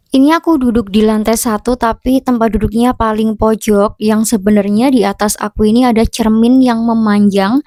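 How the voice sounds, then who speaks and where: A woman vlogging in a casual, chatty way, with a slightly hoarse voice and a somewhat stiff, blunt delivery. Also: a little high, darkish tone, no room sound, clean studio sound. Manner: chatty and self-deprecating, sounds a bit stiff and blunt. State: hoarse from a long sore throat. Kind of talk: casual vlog narration to viewers.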